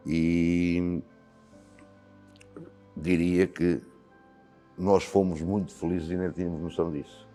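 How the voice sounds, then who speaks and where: An older man speaks with a European Portuguese accent in an extremely deep, mellow voice. He answers slowly and thoughtfully, pausing often to find his words. The recording carries a long hall reverb with faint music underneath. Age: 60s. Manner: thoughtful, with frequent hesitations. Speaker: volunteer fire commander. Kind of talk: reflective interview answers.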